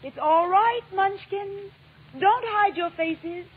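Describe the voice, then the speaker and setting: A woman's voice: extremely high pitch, slow, noisy background. An actress playing a kindly, authoritative good witch in a vintage radio drama, theatrical and assured. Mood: reassuring. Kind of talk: 1930s-50s radio acting. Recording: thin, narrow vintage radio broadcast.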